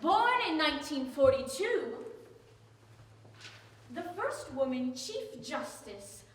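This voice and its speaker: A woman's voice: sits high, big pitch swings, slow pace, slight background noise, full character voice. An actress performing a proud, determined frontier widow and postmistress, telling her story with resolve. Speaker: stage actor in a historical monologue. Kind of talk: theatrical monologue. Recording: roomy hall from far off, loud music behind. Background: loud music.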